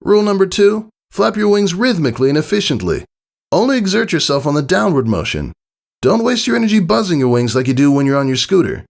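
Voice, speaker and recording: A man's voice: fairly high pitch, big pitch swings, fairly quick, creaky, voice pushed up. A man voicing a brash, confident female pegasus pony coach from a cartoon fan story, bossy but encouraging. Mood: bossy, instructive. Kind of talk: cartoon fan fiction character voice.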